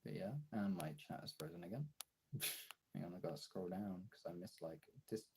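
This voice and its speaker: A young man with a husky voice chatting casually and informally as a livestreamer, replying to his viewers as he goes. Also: sits low, a little fast, background noise. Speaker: streamer and video creator.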